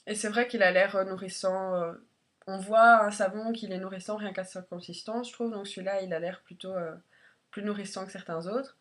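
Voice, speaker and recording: A woman's voice: medium pitch, fairly even intonation, moderate pace. A woman with a congested, head-cold voice chats informally and a little hesitantly as she reviews products in a casual beauty vlog. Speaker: beauty vlogger.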